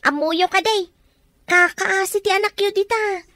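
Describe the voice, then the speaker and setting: An actress playing a nosy, excitable woman who gossips and needles in an Ilocano radio comedy drama. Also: very high voice, unhurried, no echo, clean studio sound, exaggerated voice. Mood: pointed, provoking.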